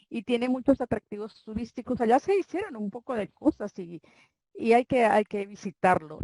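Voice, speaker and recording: An older woman from Colombia with a Santander accent, a guest author chatting in an interview, talking warmly and rambling with frequent restarts. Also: medium pitch, no echo, low-quality recording. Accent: Colombian Spanish, Santander. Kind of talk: casual interview chat.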